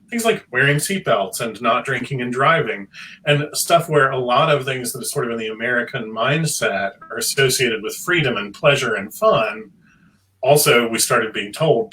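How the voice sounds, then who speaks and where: Male panelist, academic and articulate, forward bright tone, average pitch, slightly off-mic. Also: recorded from a distance, poor audio. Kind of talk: analytical panel discussion.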